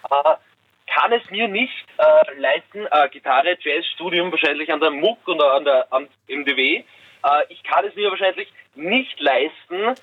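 A twenty-year-old man, calling a radio phone-in and asking his question in a halting, hesitant way. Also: fairly high pitch, expressive, breathy edge. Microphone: telephone. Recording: tinny, band-limited phone line.

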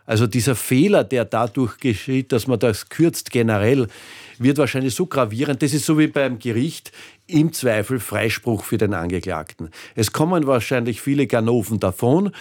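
A man's voice: medium pitch, lively intonation, normal speed, darkish tone, no room sound. Male expert in a dry studio. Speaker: economist, studio guest. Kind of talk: explanatory radio interview.

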